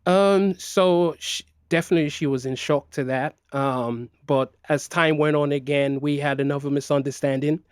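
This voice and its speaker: A man's voice: fairly high pitch, steady tone, moderate pace, hardly any pauses, clear, resonant tone, dry recording, studio quality. A middle-aged man who grew up in Nigeria, speaking as an interview guest in a hesitant way with many fillers and restarts. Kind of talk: personal interview.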